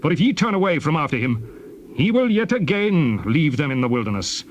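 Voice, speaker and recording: A man's voice: on the high side, sing-song, a little fast. An actor playing a stern, indignant prophet and leader, declaiming solemnly in a dramatized King James Bible. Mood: stern rebuke. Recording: noisy old cassette tape.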